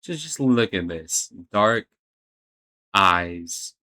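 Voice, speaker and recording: A man's voice: on the low side, expressive, extremely slow, bright, forceful tone. A man hosting a reaction video, talking casually in a hesitant, stammering, uneasy way.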